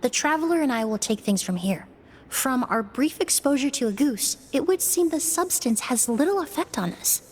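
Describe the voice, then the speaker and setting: A woman voicing a sharp, analytical young female investigator in a game, explaining in a calm, measured way. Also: fairly high pitch, a little hiss or hum, close to an everyday voice. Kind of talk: voiced game dialogue.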